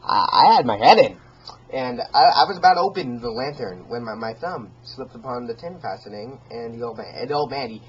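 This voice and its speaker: A man voicing a manic madman in a gothic horror story, halting and stammering as he repeats words and swings between hushed and agitated speech. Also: medium pitch, expressive, close to an everyday voice. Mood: tense, agitated. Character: manic, stammering madman gleefully confessing his stalking of an old man. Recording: dull lo-fi home recording, faint noise.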